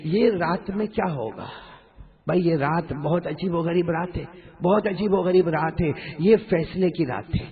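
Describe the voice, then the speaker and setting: A man's voice: a little high, unhurried, phone-quality sound. A man preaching an Islamic sermon in a mosque, impassioned and rhetorical, repeating phrases and prompting the congregation to answer. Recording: reverberant hall, long echo.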